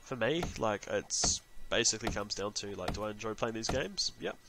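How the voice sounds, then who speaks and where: A man's voice: on the low side, animated, moderate pace, vocal fry, noisy background. A man with a New Zealand accent giving rambling, chatty commentary while he plays a game, talking to himself between remarks to viewers. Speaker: gaming channel host. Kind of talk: casual let's-play commentary.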